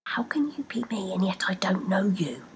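A woman voicing a timid traveller who whispers softly to her own shoes. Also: mid-range voice, very animated, normal speed, a little hiss or hum. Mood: hushed. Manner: hushed, whispered.

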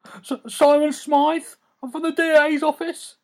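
A man voicing a nervous, fussy male city lawyer who stammers and talks fast. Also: animated, close to an everyday voice. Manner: stammering, high and hurried.

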